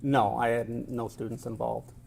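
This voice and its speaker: Gravelly middle-aged man testifying in court. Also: medium pitch, flat delivery, a little mellow. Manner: measured, technical answers with many hesitations; polite and formal with the questioner. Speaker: expert witness.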